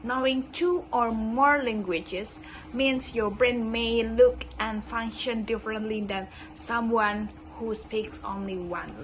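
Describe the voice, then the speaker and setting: A young woman from Indonesia, a native Indonesian speaker with an Indonesian accent, giving a class presentation by slowly and carefully reading a prepared script. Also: fairly high pitch, fairly bright tone, a little hiss or hum, rough recording. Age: young adult. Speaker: student presenter.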